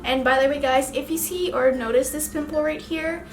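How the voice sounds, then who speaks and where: High-voiced Filipino woman chatting casually. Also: normal speed, reverberant. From Philippines. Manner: rambling, casual, lots of "like" fillers. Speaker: beauty vlogger. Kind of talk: chatty get-ready-with-me makeup vlog.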